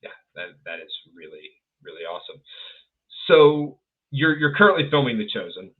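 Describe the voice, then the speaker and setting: A man's voice: mid-range voice, sing-song, moderate pace. A young man hosting an interview, asking casual questions with a few stammered starts, wearing a headset. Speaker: podcast host. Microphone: headset microphone. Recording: tinny phone-like call audio.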